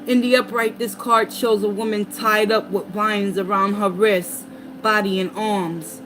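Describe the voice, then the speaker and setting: Woman reading tarot over steady noise. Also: medium pitch. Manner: mixes reading card meanings aloud with casual asides. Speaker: tarot reader. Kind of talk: casual tarot reading to viewers. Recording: noticeable background noise.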